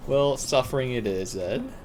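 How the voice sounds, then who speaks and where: A man's voice: medium pitch, moderate pace, noisy background. A young man doing casual live gameplay commentary as a streamer, rambling to himself in slangy speech. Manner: slangy, self-talking, rambling. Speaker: game streamer. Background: game music.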